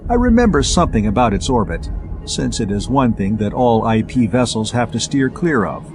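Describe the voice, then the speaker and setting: A man's voice: mid-range voice, big pitch swings, moderate pace, background noise. A man voicing an eager, slangy spaceman inventor in a science fiction audiobook, explaining technical things breezily.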